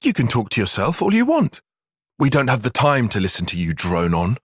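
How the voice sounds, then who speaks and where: Young British male game tactician: articulate, cautious, easily exasperated, expressive range, dry close recording. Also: medium pitch, husky, dry recording. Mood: urgent, tense. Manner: measured, formal, articulate, dry. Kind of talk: video game cutscene voice acting.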